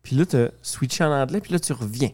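A woman's voice: very deep voice, fairly quick, warm tone. A woman hosting a backstage interview, asking chatty questions. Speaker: interviewer, host.

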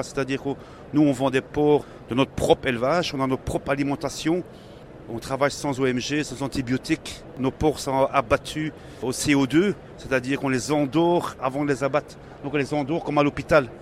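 A man's voice: medium pitch, slight background noise. A man from Belgium with a Belgian French accent, a pork company sales manager, explaining his farm in detail at a trade fair interview. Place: busy trade fair hall.

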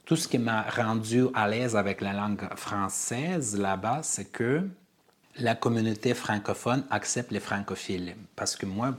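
A man from Armenia, a playwright and director, answering interview questions earnestly and pausing to find his words. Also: fairly low pitch. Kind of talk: interview conversation.

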